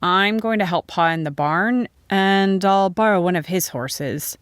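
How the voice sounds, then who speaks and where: A woman's voice: mid-range voice, a little slow, clean tone, no echo, clean studio sound, natural voice. A woman voicing a practical, caring farm husband in a storybook, giving matter-of-fact instructions.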